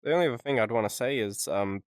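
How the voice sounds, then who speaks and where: A man's voice: mid-range voice, quick pace, smooth, no echo, studio quality. A man co-hosting a casual unscripted podcast, joking and swearing as he rambles along. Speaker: podcast co-host and video editor.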